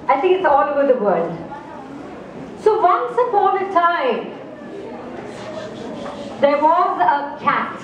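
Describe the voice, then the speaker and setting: Woman storyteller, high and unhurried, heard from afar in an echoey hall with noise around. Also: lo-fi sound. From India. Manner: slow, expressive, asks the audience questions. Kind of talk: live storytelling to an audience. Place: festival opening ceremony stage. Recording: echoey hall, distant mic, background noise.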